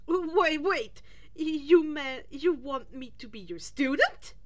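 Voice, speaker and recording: A woman voicing a nervous, self-doubting teenage boy in an audiobook, stuttering in a small, high voice. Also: expressive, slow pace. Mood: shocked. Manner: stuttering, breathless hesitations.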